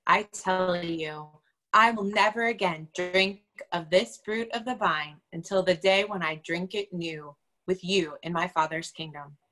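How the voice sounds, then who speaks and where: A woman's voice: fairly low pitch, unhurried, pushed and bright, low-quality recording. A woman giving a dramatic reading of scripture as a church reader. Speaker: church lay or clergy reader.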